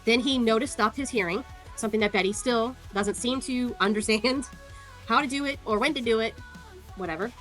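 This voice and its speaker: A woman's voice: on the high side, brisk, a bit bright, soft background music. A woman giving casual, sarcastic commentary as she walks through court records, scornful and free with swearing. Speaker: online commentator.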